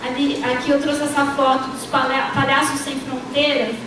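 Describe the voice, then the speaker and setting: A woman's voice: sits high, flat delivery. A Brazilian woman lecturer giving an academic panel talk with slides, explaining steadily and tagging her sentences with frequent "né". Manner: frequent "né" tags, measured explanatory delivery. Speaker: university lecturer. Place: lecture hall. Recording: echoey hall from far off with noise.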